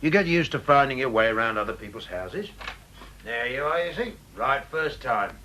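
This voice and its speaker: A man's voice: mid-range voice, lively intonation, normal speed, pushed and bright, a little hiss or hum. An actor playing a deferential, matter-of-fact henchman posing as a police officer in a radio drama. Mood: soothing.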